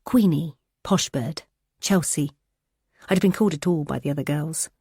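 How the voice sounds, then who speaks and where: Female audiobook narrator, low and dark, measured delivery, dry close sound. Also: slightly rough, no echo. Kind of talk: first-person thriller narration.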